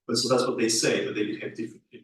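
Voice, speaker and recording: A man in the audience asking the presenter probing questions in a measured way. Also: medium pitch, fairly even intonation. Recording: echoey room, speaker far from mic, faint noise.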